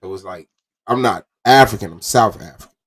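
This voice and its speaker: A man's voice: lowish voice, fairly quick, a bit bright, husky. A man hosting a casual debate podcast, arguing his point insistently in slangy talk.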